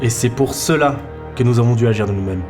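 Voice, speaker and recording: A man's voice: mid-range voice, fast talker, a little mellow, soft background music, lightly acted. A man playing a cocky, easygoing young member of a thief crew in a French audio drama, with a casual manner. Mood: earnest. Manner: casual, boastful.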